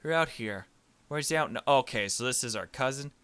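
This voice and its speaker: A man's voice: mid-range voice, expressive, a little fast, a bit bright, clean tone, no room sound. A man reading a visual novel aloud as a gaming host, with joking, irreverent asides. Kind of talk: let's play, dramatic reading mixed with joking commentary.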